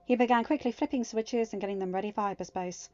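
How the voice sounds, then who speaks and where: A woman's voice: mid-range voice, fast, mellow, dry recording, dull audio, soft background music. Woman reading a fan story aloud. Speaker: fanfiction audiobook reader. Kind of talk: storybook narration, casual outro chat.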